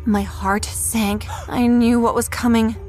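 Woman acting teenage schoolgirl, high voice, first-person story narration, emotional, defiant, music behind. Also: little pitch movement, slow pace, darkish tone. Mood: anxious.

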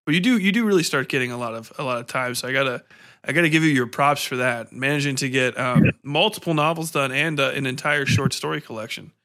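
Male podcast host, American, sharp bright tone, loose and talkative casual interviewing. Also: medium pitch, fast talker. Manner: informal, chatty, rambling. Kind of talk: casual chat.

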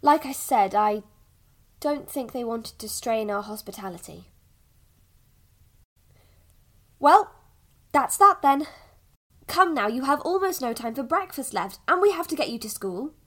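A woman playing a patient village mother in an audio drama, softly coaxing and a little evasive about the past. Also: high-pitched, lively intonation, normal speed. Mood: hesitant, regretful.

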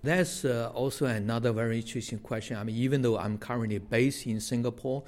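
Man from China, academic advisor, interview answer, halting, lots of fillers, middle pitch. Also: steady tone, darkish tone. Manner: hesitant, many filler sounds.

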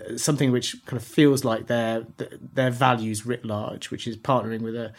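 A man's voice: medium pitch, little pitch movement, moderate pace. A man co-presenting a podcast, talking through his thoughts in a loose, discursive, conversational way. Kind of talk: conversational news commentary.

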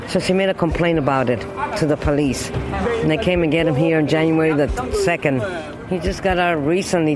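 An older man on a city street, telling a story in a plain, rambling way. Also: on the high side. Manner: plain, rambling, restarts dates. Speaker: interviewee. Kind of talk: street interview. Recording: street traffic noise. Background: traffic noise.

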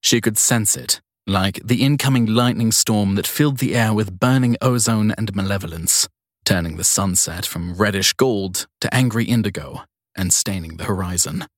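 A man's voice: on the low side, moderate pace, dry recording, studio quality. A man narrating a crime story in a scripted audiobook style.

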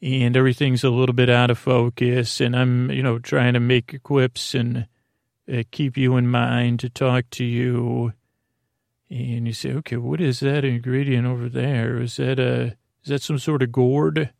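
A man with a very creaky, gravelly voice rambles through a bedtime podcast monologue. His pitch stays quite flat as he hesitates, doubles back and meanders. The sound is close and dry. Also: no echo. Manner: hesitant, meandering, halting, trailing off. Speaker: podcast host.

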